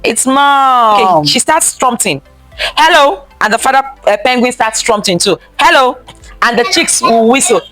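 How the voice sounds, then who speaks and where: A woman teacher leads an interactive story time in a high voice with very lively intonation. She reads expressively to young children, repeats key phrases and keeps putting questions to them. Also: normal speed, no room sound, soft background music. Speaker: teacher reading a picture book aloud to children.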